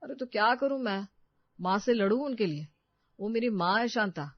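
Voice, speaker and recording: A man's voice: high-pitched, lively intonation, normal speed, no room sound, highs cut off. A man playing a weary young husband, dismissive and placating, in a family drama story.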